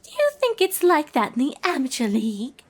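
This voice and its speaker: A woman plays a nervous young lady pony in a storybook tale. Her voice is high and swoops widely, with fretful, theatrical stammering and a refined air. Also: sing-song, fairly quick. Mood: shaken.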